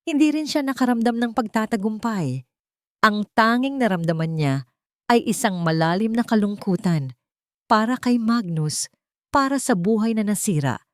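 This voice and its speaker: A woman narrating a scripted dramatic love story in short, deliberate phrases. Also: fairly high pitch, dry recording, studio quality.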